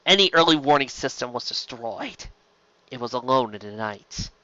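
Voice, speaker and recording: Bright-voiced man narrating dramatic fiction. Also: mid-range voice, expressive, normal speed, no echo, lo-fi sound. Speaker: amateur audiobook reader.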